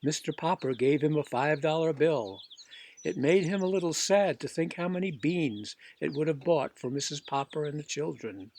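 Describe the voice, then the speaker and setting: Man, storybook narrator, slightly high pitch, expressive read-aloud delivery. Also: normal speed, a little hiss or hum. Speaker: audiobook reader.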